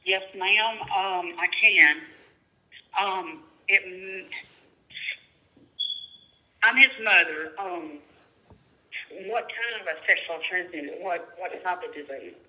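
A woman's voice: on the low side, slow, pauses often, pushed and bright. A middle-aged woman with a Southern US accent, talking politely and casually over a speakerphone call. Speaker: prank call recipient. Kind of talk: polite casual phone conversation. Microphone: telephone heard through speakerphone. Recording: tinny phone call on speaker.

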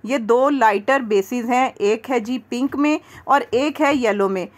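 Higher-voiced Pakistani woman giving a tutorial. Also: a little slow. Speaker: makeup tutorial host. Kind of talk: instructional demo voice-over, conversational.

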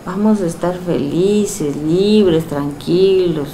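A middle-aged woman with a Mexican accent, a hypnotherapist who guides a session with soft, slow suggestions and then chats warmly with her client in a small indoor room. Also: fairly low pitch, a little hiss or hum. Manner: soft, slow counting and suggestions, then lively coaxing questions.

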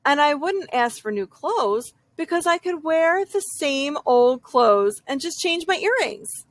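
A woman reading a children's picture book aloud as a young girl's story, with dramatic, drawn-out emphasis. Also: high-pitched, expressive, dry recording.

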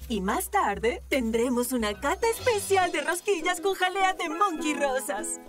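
High, expressive cartoon baker creature. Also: female voice, measured pace, music in the background. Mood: cheerful. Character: kindly, earnest female pastry-cook monkey-like creature. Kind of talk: animated children's cartoon dub.

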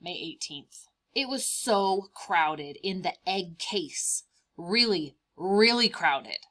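A woman voicing a cheerful, boastful young praying mantis in a picture-book read-aloud, expressive and emphatic, stretching out words. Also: fairly low pitch, takes it slowly, heavily acted. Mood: excited, wide-eyed.

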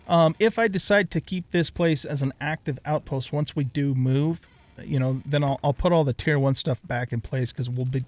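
A man's voice: fairly high pitch, gravelly. A middle-aged man giving casual let's play commentary as a YouTube host, rambling and thinking aloud as he plays.

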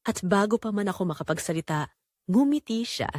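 Expressive middle-aged mother-in-law confessing , acted by a woman. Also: medium pitch, a little fast, no room sound, studio quality, close to an everyday voice. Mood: confessional, yearning. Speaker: narrator of an audio drama. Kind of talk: first-person dramatic storytelling.

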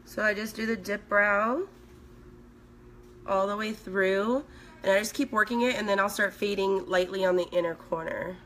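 A woman in her thirties from Sweden, a makeup artist chatting on a live stream from home with a hoarse, recovering voice while she works and reads out viewer comments. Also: medium pitch, steady tone, normal speed, a bit bright, slight background noise. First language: Swedish. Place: indoors at home, small room. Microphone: phone at arm's length.

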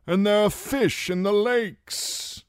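A man's voice: sits high, takes it slowly, smooth, dry recording, clean studio sound, pitched above the performer's own voice. A man voicing a cadaverous, cautious old knight who speaks thinly and insistently. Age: older.

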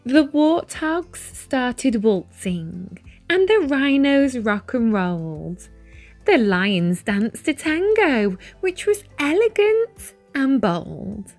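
A woman's voice: sits high, sing-song, slow pace, a little mellow. A woman reading a picture book aloud expressively, with a careful storytelling lilt. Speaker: children's story reader. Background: music.

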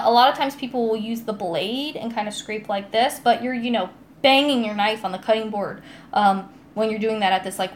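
A young American woman with a fairly high voice talks in a chatty, giggly, informal way. She sounds like a live-stream host cooking at home and reading out viewer comments. There is faint noise around her voice in the kitchen. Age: young adult. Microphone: phone or tablet, a short distance away.

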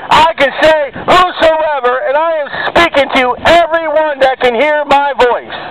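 A man giving a declamatory open-air street sermon in short, emphatic phrases broken by long pauses. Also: extremely high pitch. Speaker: street preacher. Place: outdoors on a street corner by a road. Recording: noisy outdoor street with passing vehicles. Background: street ambience and traffic.

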